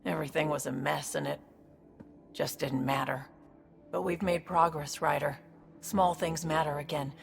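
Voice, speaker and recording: An actress playing a tough, streetwise woman in a video game, blunt and reflective, with a gravelly voice. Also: deep voice, fairly even intonation, normal speed, faint music behind. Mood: reflective, hopeful. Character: tough, streetwise woman seeking a fresh, honest start.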